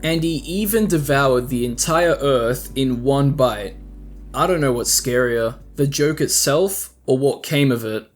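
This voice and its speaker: A man's voice: medium pitch, a little slow, faint music behind. A man hosting a video with casual scripted commentary, talking conversationally and putting rhetorical questions to the listener. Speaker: host and narrator of horror analysis videos.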